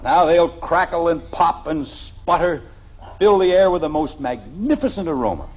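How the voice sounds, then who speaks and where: A folksy, optimistic American family man in a vintage radio sitcom. His high, husky voice rises and falls in a hearty, boastful way. The recording sounds dull and old. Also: expressive, normal speed. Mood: eager, boastful. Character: folksy, stubbornly cheerful small-town American husband, middle-aged.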